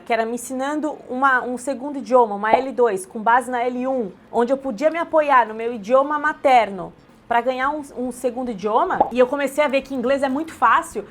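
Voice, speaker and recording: A Brazilian woman in her thirties, an English teacher talking straight to her viewers in a chatty, animated storytelling way. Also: high-pitched. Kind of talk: direct-to-camera sales talk.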